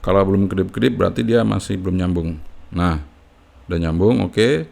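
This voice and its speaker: Man, lowish pitch, informal hobbyist tutorial, unscripted, step-by-step, frequent checking tags. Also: normal speed, vocal fry, darkish tone. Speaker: amateur radio hobbyist giving a tutorial.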